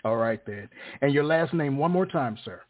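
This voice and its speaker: A man's voice: medium pitch, expressive, normal speed. A man hosting a radio interview, polite and friendly with callers and guests. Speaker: radio host. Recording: phone-like narrow sound.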